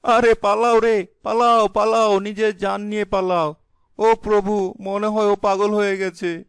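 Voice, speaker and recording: A man voicing a young village husband, blustering but easily cowed, with an exclaiming, melodramatic delivery in an animated folk tale. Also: high-pitched, measured pace, smooth, dry recording. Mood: panicked, pleading. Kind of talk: animated fairy-tale voice acting.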